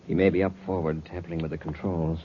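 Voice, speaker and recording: An actor playing a decisive, authoritative space patrol commander in a 1950s radio adventure. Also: male voice, deep voice, level tone, fast, natural voice. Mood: calm, assured. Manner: commanding, earnest. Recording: dull old radio recording, faint noise.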